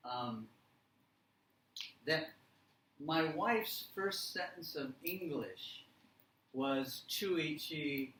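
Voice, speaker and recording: A man leads a class in a slightly high-pitched voice, speaking very slowly in short, simple directions. He repeats key steps and checks with his listeners. The room is echoey and he sounds some distance from the mic. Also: a bit bright, slight background noise. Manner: deliberate, simple phrasing with repeated instructions and check questions. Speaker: language teacher leading a workshop. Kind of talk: live classroom instruction with simple step-by-step directions. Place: indoor classroom or presentation room.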